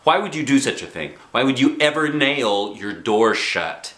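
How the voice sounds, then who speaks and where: A man's voice: mid-range voice, lively intonation, moderate pace, bright, forceful tone, echoey room, pitched above the performer's own voice. A man playing a grouchy, bewildered father, stern and insistent in his questioning. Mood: angry, exasperated.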